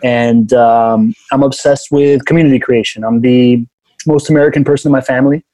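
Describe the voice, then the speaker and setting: A man's voice: medium pitch, fairly even intonation, moderate pace, mellow, no room sound, low-quality recording. A man from Miami, a podcast guest, talking in an upbeat, casual way and calling people man. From Miami, born in Venezuela. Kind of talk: casual interview talk.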